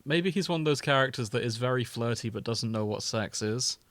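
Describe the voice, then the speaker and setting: A man's voice: mid-range voice, brisk, fairly bright tone, dry recording. Casual man podcaster, close dry sound. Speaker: podcast co-host. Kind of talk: casual chat, reading and riffing on fan fiction.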